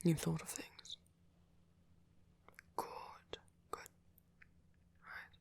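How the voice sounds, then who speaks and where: A man playing a sweet, gentle vampire boyfriend in an ASMR roleplay, speaking softly, breathily and tenderly with some teasing. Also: extremely slow. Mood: fussing.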